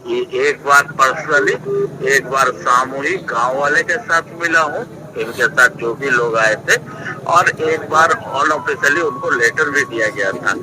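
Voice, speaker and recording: A man's voice: mid-range voice, unhurried, background noise. An official answering a phone call, heard through a speaker at a protest. He defends himself and keeps repeating "sir" and that the papers were already given. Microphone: phone line played over a speaker.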